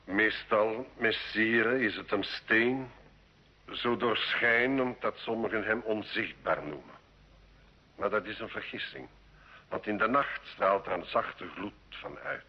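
A sly medieval alchemist speaks very slowly in a low, heavily husky voice. He is learned and deferential, with a sardonic undertone. It sounds like a dull old radio play recording. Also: lively intonation, exaggerated voice. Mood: mystical, measured. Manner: slow, measured, deferential yet sardonic. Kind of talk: old Dutch radio drama acting.

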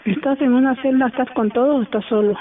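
A woman's voice: high-pitched, steady tone, fast. A middle-aged woman with a Mexican accent talking informally on a phone call, motherly and insistent. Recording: tinny narrow-band phone call.

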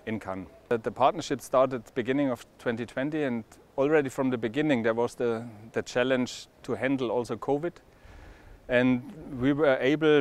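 A middle-aged man being interviewed outdoors on a lapel mic, speaking haltingly with many restarts and fillers. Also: medium pitch. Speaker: industry executive interviewed. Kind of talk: interview answers. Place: outdoors at a boat show marina.

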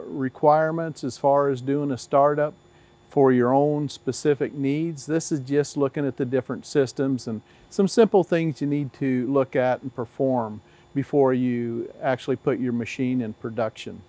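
Older man, slightly high voice, mellow tone, methodical factory instructor, lapel mic. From Tennessee. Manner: methodical, plain-spoken, steady explanations with occasional "uh". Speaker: service engineer. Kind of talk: instructional walkthrough. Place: large factory floor, indoors.

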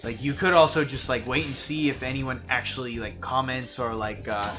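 A man's voice: mid-range voice, steady tone, moderate pace, pushed and bright. A young man co-hosting a let's play, chatting casually as he follows the game. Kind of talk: casual gaming chat. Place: indoors, voice-over on game footage. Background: faint game music.

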